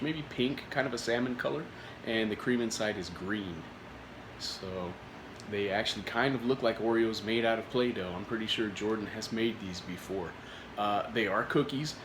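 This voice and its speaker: A man hosting a casual food review vlog, getting over a cold, rambling with many filler words and restarts. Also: lowish voice. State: recovering from a cold. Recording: echoey room with faint noise.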